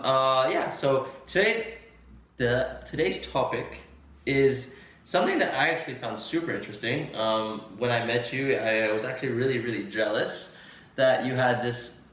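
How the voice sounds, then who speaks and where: A man's voice: medium pitch, expressive, moderate pace, fairly bright tone. Young guy hosting a podcast, chatty, lots of ums, sounds far off in a really echoey room. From United States. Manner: chatty, playful, many fillers and restarts. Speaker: podcast host interviewing his co-host. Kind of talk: casual podcast chat. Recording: echoey room, distant mic.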